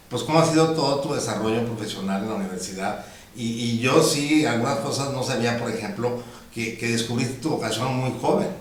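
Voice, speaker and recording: A man hosts an interview podcast and responds with friendly affirmations. He sounds set back from the microphone. A heavy room echo and faint hiss surround his voice. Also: mid-range voice. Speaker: podcast host. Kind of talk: conversational interview. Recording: very echoey room, distant mic, faint hiss.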